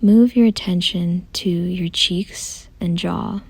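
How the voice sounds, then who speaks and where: A woman softly guiding a meditation in a gentle, calm, unhurried way, often close to a whisper. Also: fairly low pitch, dry recording. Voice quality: soft, breathy, often near a whisper. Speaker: meditation guide. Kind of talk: soothing guided meditation, scripted.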